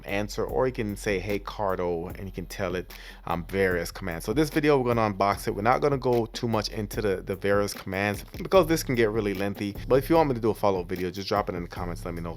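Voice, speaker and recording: A man's voice: fairly low pitch, fast talker, a little hiss or hum. A man giving a casual, informal voice-over as a vlogger, walking step by step through a product unboxing and setup.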